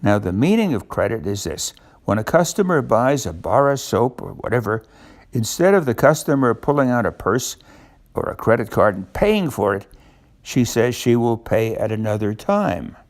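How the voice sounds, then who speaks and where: Older male storyteller, creaky voice, lively intonation, children's book with simple explanatory asides. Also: mid-range voice, moderate pace.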